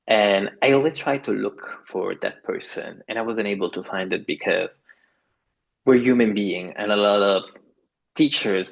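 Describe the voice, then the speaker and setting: A man's voice: low voice, gravelly, fairly bright tone, husky, rough recording. A man in his thirties from Italy with an Italian accent, talking enthusiastically as a yoga teacher guest on a video call. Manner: enthusiastic, acts out other people's voices. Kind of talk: casual interview conversation. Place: home in a French village at night.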